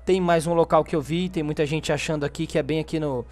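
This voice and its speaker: A man's voice: fairly high pitch, steady tone, fast talker. A Brazilian man giving casual game tutorial commentary, informal, often tagging sentences with little check-in questions. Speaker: gaming video host. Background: background music.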